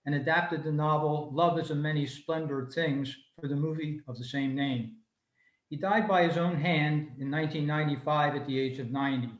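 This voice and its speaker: Male club presenter, slightly high-pitched, reading prepared notes on a video call from an echoey room. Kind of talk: scripted informational talk, read aloud. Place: room, remote video meeting.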